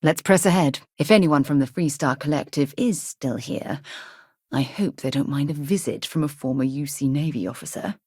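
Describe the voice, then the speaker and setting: A woman's voice: low-pitched, moderate pace, darkish tone, husky, dry recording, studio quality. A woman playing a composed, principled space explorer and former navy officer in a video game, speaking in an articulate, earnest way. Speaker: video game companion character. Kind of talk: acted game dialogue voice lines.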